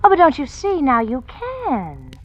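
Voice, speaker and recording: An actress playing a bossy, falsely sweet social-climbing wife in a 1940s radio drama, gushing and affected. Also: female voice, high voice, huge pitch swings, measured pace, full character voice. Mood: excited, cajoling. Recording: noisy, muffled 1940s radio recording.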